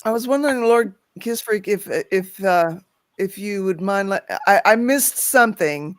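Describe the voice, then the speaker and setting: Woman host of an online support meeting, quiet and thoughtful, very dry sound. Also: mid-range voice, expressive, normal speed, no room sound. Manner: reacts often, soft and reflective. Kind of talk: casual group chat. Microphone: video call.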